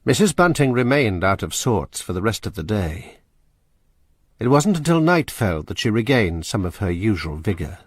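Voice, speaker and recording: A man narrating a suspenseful story in a measured, deliberate way. His voice is low and husky, recorded close and dry with no room sound. He reads like a practised storyteller. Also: very animated, dry recording.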